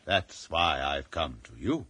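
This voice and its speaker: A man's voice: rumbling low, sing-song, slow, bright, forceful tone, breathy edge, no room sound, heavily acted. A man playing an earnest, authoritative British intelligence chief in a radio drama, formal and clipped in manner. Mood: earnest, brisk.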